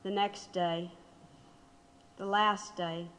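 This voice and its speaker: A woman playing a condemned man's grieving wife, reflective and bitter, telling her story in an audio drama with measured regret. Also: mid-range voice, level tone, fairly bright tone. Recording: long hollow reverb.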